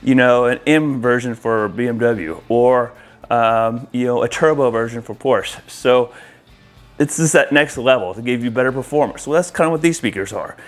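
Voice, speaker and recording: A man's voice: medium pitch, moderate pace, soft background music. A man talking informally to viewers as an installer, walking through a product demo in a casual way and addressing them as guys. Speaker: audio-video installer presenting to viewers.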